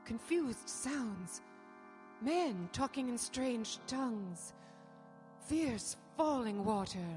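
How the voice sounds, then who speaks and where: A woman's voice: fairly high pitch, animated, very slow, darkish tone. A woman playing an eerie, haunted young Victorian woman in a hypnotic trance in a live radio horror drama. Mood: entranced, uneasy. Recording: live hall stage with music behind.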